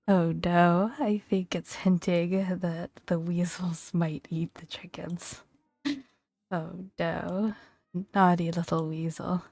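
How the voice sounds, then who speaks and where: A woman speaking softly and breathily in a gentle, playful ASMR style, often dropping into a whisper as she reads and comments. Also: lowish voice, little pitch movement, slow pace, vocal fry, dry recording, studio quality, soft background music. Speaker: gaming video host. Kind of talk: soft-spoken ASMR, reading creature entries with casual commentary.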